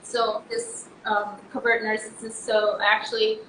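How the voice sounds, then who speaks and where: A woman guest telling her personal story haltingly, with many fillers and restarts, her emphasis rising on key words. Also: fairly high pitch, little pitch movement, background noise. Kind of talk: emotional personal testimony. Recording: roomy echo.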